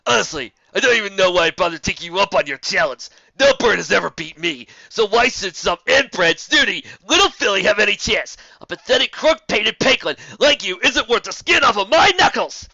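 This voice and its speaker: Narrator putting on a loud, blustering griffin brute. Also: mid-range voice, animated, fairly quick, vocal fry, few pauses, no echo, muffled recording, voice pushed up, heavily acted. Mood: scornful. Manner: taunting, blustering, theatrical sneer. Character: boastful, scornful adult male griffin brute. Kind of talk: fan fiction story character voice.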